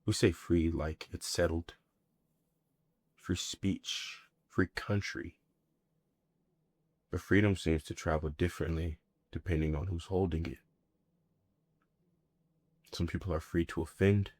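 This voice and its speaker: Deep-voiced man delivering a reflective monologue. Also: fairly even intonation, a little mellow, dry recording. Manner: measured, deliberate, reflective, with short weighted phrases. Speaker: monologue performer. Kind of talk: scripted spoken-word monologue.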